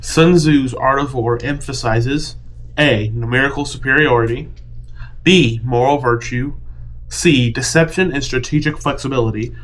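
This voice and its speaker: A man's voice: mid-range voice, normal speed, fairly bright tone, poor audio. A man talking informally and with opinions, chatting as he plays a board game. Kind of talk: casual chat.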